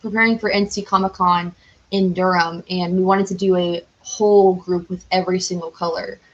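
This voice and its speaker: A woman's voice: lowish voice, level tone, moderate pace, highs cut off. Chatty, enthusiastic young woman. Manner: enthusiastic, rambling, heavy use of "like". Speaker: podcast guest, cosplayer. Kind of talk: casual chatty interview.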